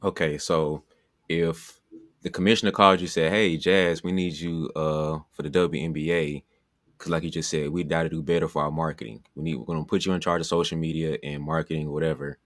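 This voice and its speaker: A man's voice: a bass voice, level tone, brisk, no room sound, studio quality. A man hosting a podcast interview, asking rambling questions with frequent fillers. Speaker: podcast host, basketball trainer.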